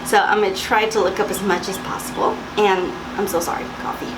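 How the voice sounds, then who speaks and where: Young woman with a raspy morning voice. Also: medium pitch, level tone, brisk. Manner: chatty, instructive, with fillers and asides. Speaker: makeup vlogger. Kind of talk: makeup tutorial talk to camera. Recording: loud background hiss and air-conditioner hum.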